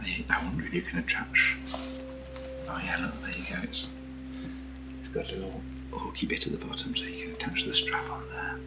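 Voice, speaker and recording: A soft-spoken British man chatting through an ASMR unboxing in a breathy murmur that often drops near a whisper, with gently playful asides. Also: low-pitched, fairly even intonation, fairly quick, over loud music, rough recording. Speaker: ASMR product reviewer.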